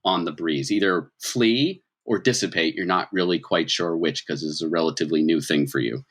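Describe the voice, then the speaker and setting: A man's voice: sits low, moderate pace, fairly bright tone, husky. A man improvising narration as game master of a tabletop game, explaining scenes in a conversational way. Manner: conversational, explanatory, checks in with "right?".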